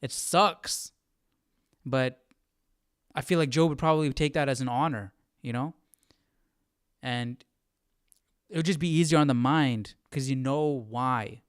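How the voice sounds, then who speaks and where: Male study host, informal and reflective, halting delivery, crisp voice, very dry sound. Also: mid-range voice, dry recording. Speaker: host of a live Bible study. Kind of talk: casual chat, commentary on scripture.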